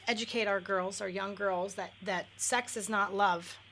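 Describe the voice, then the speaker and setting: A woman's voice: mid-range voice, fairly even intonation, unhurried, fairly bright tone, a little hiss or hum. A middle-aged woman, a guest on a radio talk show, talking candidly with lots of fillers and restarts. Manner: candid, many fillers and self-corrections. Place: radio studio.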